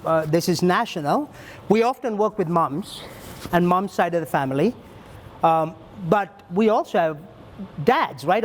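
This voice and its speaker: A man with a fairly high voice speaks earnestly at a breakfast Q&A, taking his time and thinking aloud. Ums and restarts run through his answers. He sounds like a middle-aged welfare leader explaining his work. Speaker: child welfare leader answering audience questions. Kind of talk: panel Q&A, conversational.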